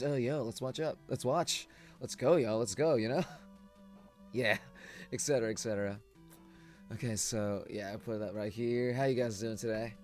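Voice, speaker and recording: Streamer, man, hoarse just-woke-up voice, rambling chat, average pitch. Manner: rambling, chatty, laughs often. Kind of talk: casual live-stream watch-along chat.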